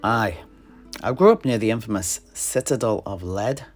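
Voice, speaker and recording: A man's voice: fairly low pitch, expressive, normal speed. A man playing an earthy, chatty alchemist and druid in a fantasy audio drama, telling things in a rambling, rustic way. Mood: storytelling. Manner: folksy, rambling storyteller with rustic dialect turns. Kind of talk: in-character fantasy audio roleplay. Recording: music behind the voice.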